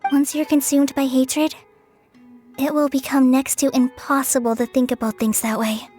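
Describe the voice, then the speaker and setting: An earnest young adventurer, voiced by a woman in a video game. Her voice is fairly high, and she speaks plainly and directly. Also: flat delivery, normal speed, a little mellow, faint music behind, natural voice.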